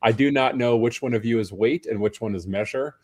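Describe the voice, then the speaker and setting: A tired, hoarse man speaking as a panel guest, chatting in a friendly, self-deprecating way with frequent restarts. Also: medium pitch, fairly quick, darkish tone. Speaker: panel guest, personal trainer. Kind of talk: casual panel conversation.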